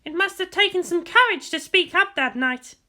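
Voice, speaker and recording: A woman's voice: very high-pitched, expressive, moderate pace, pushed and bright, pitched above the performer's own voice, full character voice. A woman voicing an indignant teenage girl pilot in a dramatic story reading, blunt and accusatory. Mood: musing. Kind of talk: dramatic fan fiction character reading.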